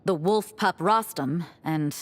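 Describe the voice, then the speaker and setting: Cool, wry young church sister. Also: female voice, fairly low pitch, slow. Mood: storytelling. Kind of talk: video game voice acting.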